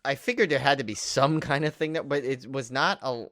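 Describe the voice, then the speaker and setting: A man's voice: medium pitch, fairly quick, a bit bright, no echo, studio quality. A man co-hosting a horror film podcast in casual chat, rambling and jokey as he talks. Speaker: podcast co-host.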